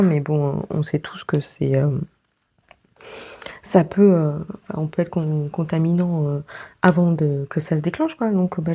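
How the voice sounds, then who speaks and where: A woman's voice: fairly low pitch, warm tone, husky. A young woman vlogging casually, congested with a sore throat, chatting with many fillers and laughs. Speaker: book vlogger. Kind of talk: casual vlog chat.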